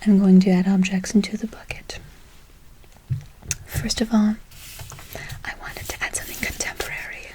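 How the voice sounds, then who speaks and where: A woman's voice: medium pitch, little pitch movement, moderate pace, pauses often, poor audio. A woman whispering an ASMR roleplay as a soothing witch, lingering on repeated words and endearments. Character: soothing witch casting a good luck spell. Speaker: ASMR performer.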